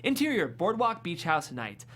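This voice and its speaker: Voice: mid-range voice, very animated, normal speed, a bit bright. A narrator vividly reading scripted stage directions at a comedic table read.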